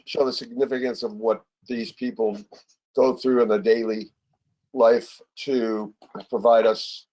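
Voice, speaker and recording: A man speaking as a guest on a live online panel, halting and plain-spoken in his earnest, informal remarks. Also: medium pitch, warm tone, poor audio. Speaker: film set builder, panel guest.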